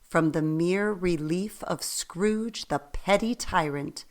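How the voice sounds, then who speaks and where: A woman narrating a radio play as a storyteller, reading in a measured way. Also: fairly low pitch.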